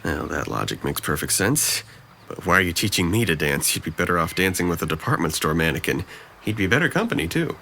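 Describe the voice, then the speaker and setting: A man's voice: deep voice, sing-song, fairly quick, gravelly, sharp tone, slight background noise, heavily acted. A man acting a depressed, guarded young man in an audio drama, speaking with dry, self-deprecating hesitance. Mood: sardonic.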